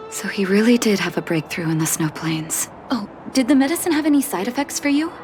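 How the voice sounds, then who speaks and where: A woman voicing a gentle, resolute doctor and leader in a video game, soft, measured and thoughtful. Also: mid-range voice, lively intonation, over music, close to an everyday voice. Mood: composed, curious.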